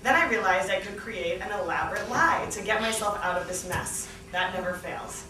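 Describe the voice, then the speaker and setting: A young adult woman giving an animated, comedic live reading of a memoir to an event, performing the dialogue as she goes. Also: mid-range voice, normal speed, music in the background. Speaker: guest reader at a book launch. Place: small theatre stage at a lectern, indoors. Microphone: distant camera. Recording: roomy, noisy, distant event recording.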